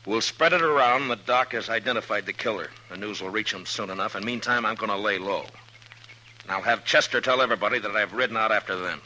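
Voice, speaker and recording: An actor playing a stern, steady American marshal of the Old West in 1950s radio western style, speaking firmly and deliberately. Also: male voice, fairly low pitch, slight background noise, poor audio. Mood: planning, steady. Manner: firm, deliberate, commanding. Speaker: lead actor and first-person narrator. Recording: old radio broadcast with music.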